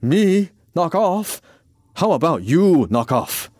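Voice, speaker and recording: A fussy male caretaker, put on by a man narrating in an audiobook tale. He is pedantic and easily wound up, with a raised, exasperated pitch. The sound is dry and close. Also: big pitch swings, takes it slowly, darkish tone, no room sound, full character voice. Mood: fed up.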